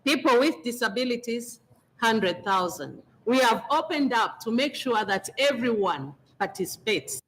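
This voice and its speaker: A woman's voice: a little high, lively intonation, measured pace, fairly bright tone, slight background noise, rough recording. A woman with a Zambian accent, a party official giving a media briefing statement phrase by phrase.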